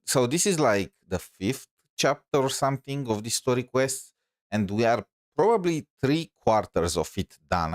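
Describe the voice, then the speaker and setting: A man's voice: mid-range voice, no echo, studio quality. A man giving casual live gameplay commentary as a streamer, talking in an informal, rambling, hesitant way. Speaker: game streamer.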